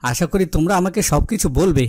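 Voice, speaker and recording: An actor playing a worried, protective cartoon king who speaks in a formal, measured, authoritative way. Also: high-pitched, a little mellow, no room sound. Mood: stately. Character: worried, protective fairytale king, stately and questioning. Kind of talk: dubbed cartoon fairytale acting.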